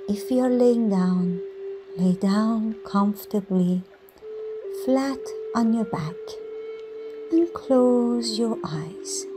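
A woman leading a scripted guided meditation in a soothing manner, giving instructions in short phrases with pauses between them. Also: a little high. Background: music.

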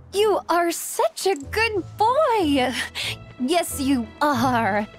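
A woman playing a sweet, spirited young princess in an animated game, cooing and playful. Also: very high-pitched, takes it slowly, faint music behind, full character voice. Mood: cooing, doting. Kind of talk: animated game cutscene acting.

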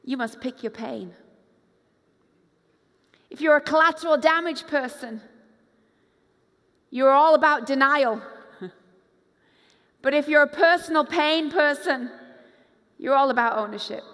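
High-voiced woman preaching on a stage. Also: unhurried, long pauses. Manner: animated preacher, acts out quoted voices, moves around the stage. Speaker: pastor. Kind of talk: conference sermon. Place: large dark stage with hall reverb. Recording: long hall reverb.

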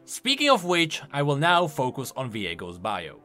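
A man's voice: mid-range voice, huge pitch swings, moderate pace, sharp tone. A man giving emphatic, enthusiastic voice-over commentary, explaining the lore of a video game. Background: music.

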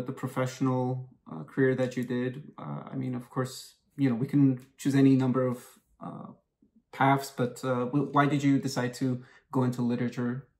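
A young man interviewing a guest over a video call on a headset, asking long, measured questions with some hesitation. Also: medium pitch, a little mellow.

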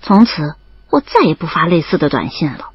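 A young woman acting as a lively student in love in an audio drama, telling her story and playing the dialogue. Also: low voice, fairly quick, breathy edge, dry recording, heavily acted. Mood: wry, fond narration. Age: young adult. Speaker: narrator and lead actress. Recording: slightly dull audio over background music. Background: music.

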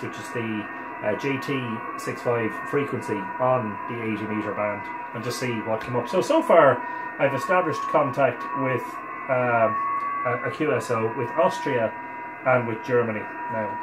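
A man in his forties with an Irish accent casually explains a demonstration, thinking aloud as he works in a relaxed way. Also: medium pitch, roomy sound, heavy background noise. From Ireland. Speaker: amateur radio operator presenting. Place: small home radio room, indoors. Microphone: camera a couple of metres away.

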